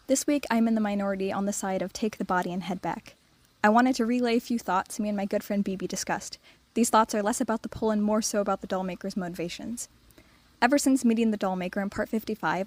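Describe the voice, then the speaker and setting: A woman giving a prepared listener monologue, articulate and earnest. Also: mid-range voice. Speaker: listener sending a voice submission.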